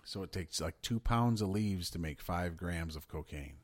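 A man co-hosting a podcast in casual chat, excitable and swearing as he riffs on questions. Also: deep voice, normal speed, dry recording. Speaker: podcast co-host.

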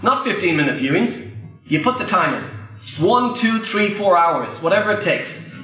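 Irish male trainer, high and bright, punchy repeated points to a live crowd, roomy echo. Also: big pitch swings, normal speed, soft background music. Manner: emphatic, repeats key phrases, addresses the crowd directly. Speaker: property investment trainer. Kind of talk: live Q&A coaching talk to an audience. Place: event room before a live audience. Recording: very echoey room.

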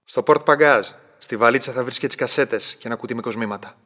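An actor playing a cruel, mocking young lover turned betrayer in a radio drama, with a breathy, raspy voice and a menacing manner. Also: male voice, mid-range voice, fairly bright tone, big-room sound, natural voice. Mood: commanding.

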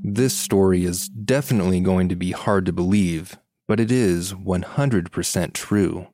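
Deep-voiced man telling a scary story. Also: measured pace, warm tone. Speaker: podcast narrator reading listener stories. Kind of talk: scripted first-person scary story narration.

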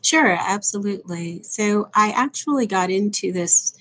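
A woman from Los Angeles and Salt Lake City, explaining her work in a measured way as an interview guest on a video call. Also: medium pitch, lively intonation, fairly bright tone, poor audio. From born in Los Angeles, raised partly in Salt Lake City. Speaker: guest, company president. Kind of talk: remote interview answers.

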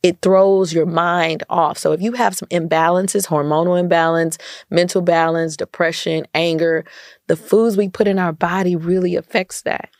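A woman's voice: fairly low pitch, fairly even intonation, moderate pace, dry recording, clean studio sound. An American woman talking emphatically in a casual interview, often repeating phrases for stress as a podcast guest. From United States. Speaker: podcast guest, entrepreneur and community activist.

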